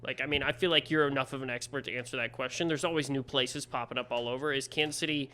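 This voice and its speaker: Joking Midwestern podcast host, bright voice. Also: male voice, medium pitch, fairly even intonation, fast talker. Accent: American Midwest. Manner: chatty, joking, self-deprecating. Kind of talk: casual interview chat.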